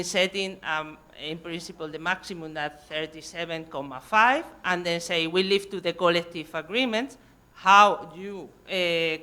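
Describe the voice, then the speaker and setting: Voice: medium pitch, bright, forceful tone. A speaker at a university seminar who asks the panel questions in fluent, questioning English. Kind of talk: academic panel discussion.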